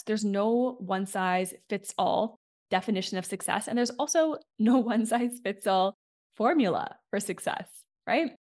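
A woman hosting an unscripted solo podcast as a coach. She sounds slightly raspy from a chest infection and talks candidly and reflectively, often checking in with "right?". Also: medium pitch.

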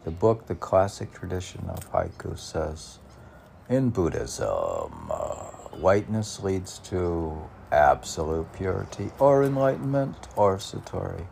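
Very deep, gravelly man, slow and halting. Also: big pitch swings, darkish tone, a little hiss or hum. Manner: halting, musing, repeats questions back to himself. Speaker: poet reading and discussing his own work. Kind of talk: rambling monologue of self-posed questions and answers.

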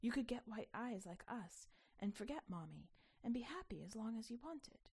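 A woman voicing a secretive young girl from a mirror world in a storybook, hushed, breathy and enticing. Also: fairly high pitch, very animated, normal speed, full character voice. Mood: soft persuasion. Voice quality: breathy, half-whispered.

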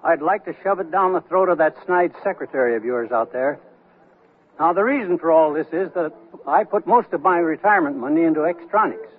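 An older man playing a shrewd, feisty retired investor in a radio comedy, with a dry, deliberate delivery. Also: a little high, lightly acted. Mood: feisty, scolding. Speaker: radio actor. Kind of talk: 1970s radio comedy acting. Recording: thin narrow-band 1979 radio recording.

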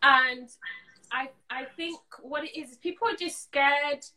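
A woman's voice: high-pitched, unhurried, sharp tone, poor audio. A woman chatting casually and earnestly about her work as an event organiser, often saying "you know". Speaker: event organiser being interviewed. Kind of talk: casual chat.

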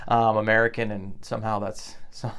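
A man's voice: on the low side, flat delivery, fairly quick, fairly bright tone, a little hiss or hum. A man hosting a podcast, asking questions in an encouraging, conversational way with frequent short agreements. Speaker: podcast host. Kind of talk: casual interview chat.

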